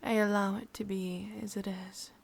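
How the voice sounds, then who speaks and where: A woman with an English accent voicing an ancient, lonely, lovesick witch in a breathy, husky whisper for an ASMR roleplay. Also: medium pitch, level tone. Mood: brooding. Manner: slow, brooding, intimate delivery.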